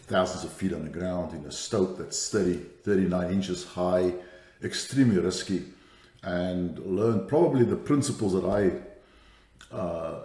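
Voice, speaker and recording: Deep-voiced South African man, echoey. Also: mellow. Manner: thoughtful, many fillers and restarts. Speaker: guest, safety strategist. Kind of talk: podcast interview.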